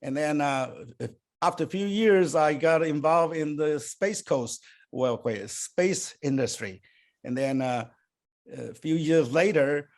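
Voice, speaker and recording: An older man born and raised in Taiwan, speaking English as a second language and answering interview questions in a halting way, with many fillers and restarts. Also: mid-range voice. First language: Chinese. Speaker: guest.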